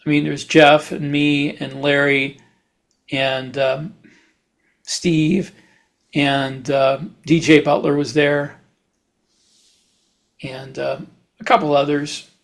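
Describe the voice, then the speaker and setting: A man co-hosting a podcast in casual chat, talking in a halting, rambling way. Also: a little high, little pitch movement, vocal fry. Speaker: podcast host; writer.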